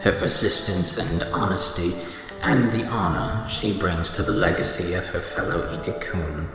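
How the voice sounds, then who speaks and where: Man as low, courtly alien overlord. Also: close to an everyday voice. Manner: formal, measured politeness, says "we". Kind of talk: radio drama acting. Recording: echoey hall reverb with music.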